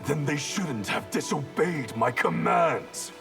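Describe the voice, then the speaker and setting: Cold domineering warrior, extremely gravelly, slow, resentful, scornful, game fighter. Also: male voice, a little high, lively intonation, full character voice. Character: cold, resentful, domineering male warrior and exiled elder brother. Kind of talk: video game character dialogue. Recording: game dialogue over music.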